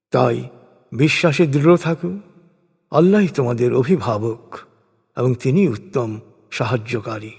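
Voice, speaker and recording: A man gives a solemn, scripted reading of translated scripture in measured, declamatory phrases. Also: on the high side, a little mellow, breathy edge. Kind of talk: solemn scripted religious recitation of translated verses. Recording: long hall-like reverb.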